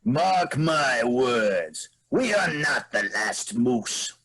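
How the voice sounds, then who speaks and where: Moose queen villain, male actor: imperious, menacing, slow, dramatically swooping stage-reading delivery. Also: mid-range voice, sing-song, fairly bright tone, breathy edge, exaggerated voice. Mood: ominous. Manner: commanding, menacing.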